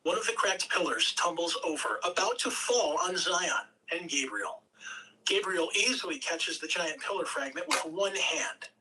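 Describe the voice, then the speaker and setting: A woman's voice: lowish voice, moderate pace, sharp tone, recorded from a distance, poor audio. A woman reading stage directions as scripted narration at a table read.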